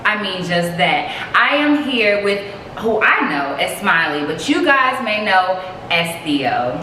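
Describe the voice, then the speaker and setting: Animated bright-voiced young woman. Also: mid-range voice, slow, a little hiss or hum. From Jacksonville, Florida. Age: 20s. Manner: animated, playful, slangy. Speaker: host. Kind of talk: casual chat. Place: indoor room under hot lights. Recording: echoey room, mic at a distance.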